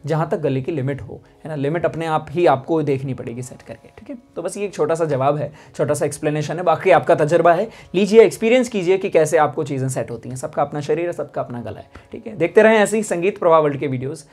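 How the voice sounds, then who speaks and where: A man's voice: on the high side, fast talker, few pauses, darkish tone. A young man giving a music lesson to camera. He explains vocal care step by step and keeps checking in with "है ना?". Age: young adult. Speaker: music teacher. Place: indoor studio with instruments.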